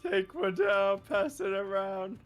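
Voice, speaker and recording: A woman's voice: mid-range voice, slow, faint music behind. A woman playing in a streamed tabletop game, chatting casually and playfully.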